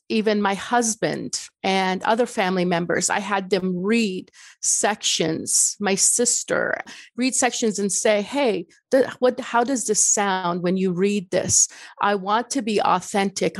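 A woman's voice: medium pitch, dry recording. A middle-aged woman whose first language is Farsi, speaking as an author in an interview in an earnest, reflective way with many restarts. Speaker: author and guest. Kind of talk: interview conversation.

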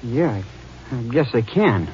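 A man's voice: medium pitch, expressive, slow, darkish tone. An actor playing a nervous, defensive young man under suspicion in an old radio drama, speaking in a jumpy, defensive way. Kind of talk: 1950s radio drama acting. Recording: muffled old radio broadcast with hiss.

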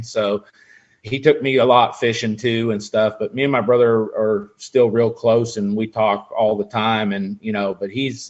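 A man's voice: medium pitch, dull audio. A man in his forties, a guest angler chatting casually and rambling with lots of fillers. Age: 40s. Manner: laid-back, rambling with fillers. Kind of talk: casual podcast chat.

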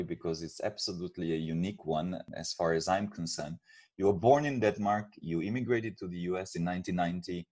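A man's voice: low-pitched, darkish tone, husky. A man hosting a formal interview, giving prepared introductions and questions to a guest. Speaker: interview host.

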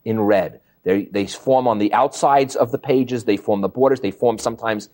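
A man's voice: on the low side, normal speed, darkish tone. A man hosting a conversational book interview, explaining things step by step with emphasis. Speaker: interviewer, show host.

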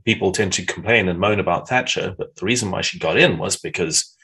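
A man's voice: low voice, a little fast, creaky, sharp tone, breathy edge. A man, chatting frankly as a podcast guest and telling stories. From South Africa. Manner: frank, reflective storyteller. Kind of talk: casual interview chat.

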